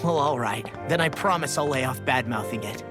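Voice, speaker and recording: A sincere young male fighter from a fantasy game, performed by a man, with a mid-range voice. He sounds loyal and slightly bashful. Music is clearly audible behind his speech. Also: medium pitch, a little fast, lightly acted. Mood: sincere, resolute. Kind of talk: video game cutscene voice acting.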